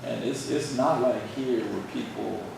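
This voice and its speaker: A man's voice: medium pitch, unhurried. A man speaking in a conversational, opinionated way in an informal group discussion, recorded in a large room on a distant microphone. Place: large indoor arts-center room. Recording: echoey hall, distant mic, clear background noise.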